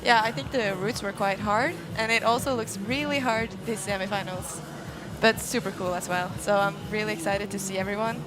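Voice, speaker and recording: A woman's voice: medium pitch, steady tone, moderate pace, poor audio. A young woman from Norway with a Norwegian accent, chatting informally and a little hesitantly as a guest co-commentator. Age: young adult. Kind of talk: casual interview chat. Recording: music behind the voice. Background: music.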